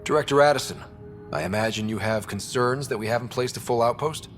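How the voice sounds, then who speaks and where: An actor playing a young, principled and wary space explorer who leads a team in a video game, speaking plainly but with resolve. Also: male voice, mid-range voice, a little fast, soft background music, natural voice. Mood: earnest. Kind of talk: video game character acting.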